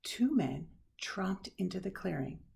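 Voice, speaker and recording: Animated woman reading storybook, gravelly husky voice. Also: on the low side. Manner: animated, dramatic pauses and hushed asides. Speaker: storybook reader. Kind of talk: expressive read-aloud of a children's picture book.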